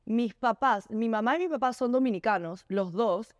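A woman's voice: fairly high pitch, moderate pace, dry recording, studio quality. Blunt young Miami-born woman. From born in Miami, raised in Ecuador. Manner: blunt, code-switches into English. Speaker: podcast co-host. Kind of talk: casual chat.

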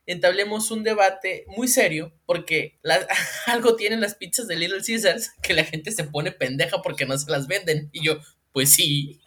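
A man with a Mexican accent co-hosting a casual comedic chat about viral news, slangy and laughing often. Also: sits high. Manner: animated, slangy, laughs often, mimics voices. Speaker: co-host.

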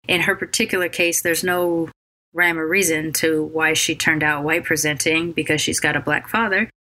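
A woman's voice: low voice, steady tone, pushed and bright. Tired, scratchy-voiced woman podcast host. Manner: halting, with many ums and restarts. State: scratchy voice after a cold; very tired, late at night. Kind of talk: casual solo podcast intro.

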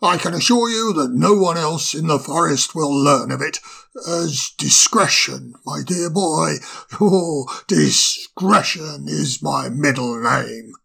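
Storybook owl, old male professor, genial and self-important, low gravelly husk, as a reader puts him on. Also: slow pace, fairly bright tone, heavily acted. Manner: chuckling, hesitant, fond of "my dear boy". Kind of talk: storybook reading.

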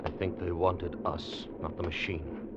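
An actor in an old radio drama playing a resolute, analytical explorer, deliberate and grimly composed under danger. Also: male voice, low voice, level tone. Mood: tense, hushed. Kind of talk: 1940s-50s radio drama acting. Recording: dull, noisy old radio recording.

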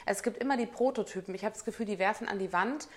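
A woman's voice: medium pitch, racing, husky. A woman co-hosting a podcast, chatting casually and wryly about a reality show. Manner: gossipy, wry, chatty. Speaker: podcast co-host.

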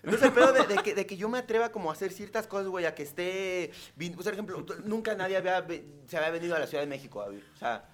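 Young man, Mexican accent, quick slangy storytelling, high voice, a bit tipsy. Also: expressive, slight background noise. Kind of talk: casual podcast chat.

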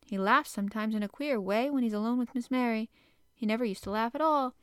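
A woman voicing a flustered middle-aged housekeeper who talks in a fussy, breathless rush, higher and louder than her narration. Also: fairly quick, smooth, no room sound, studio quality, close to an everyday voice.

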